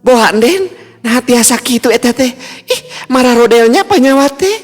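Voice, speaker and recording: A man's voice: very high-pitched, animated, normal speed, voice pushed up. A man voicing an elderly village mother who gossips and scolds. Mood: gossipy. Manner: chatty, scolding. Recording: reverb, faint music, distant.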